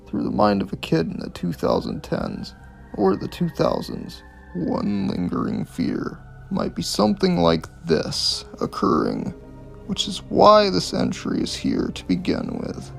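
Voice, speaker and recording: A man's voice: fairly high pitch, huge pitch swings, unhurried, vocal fry, faint music behind. A man hosting a casual video essay on horror clips, speaking in an informal, opinionated way with plenty of swearing. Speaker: host of a video series reviewing analog horror.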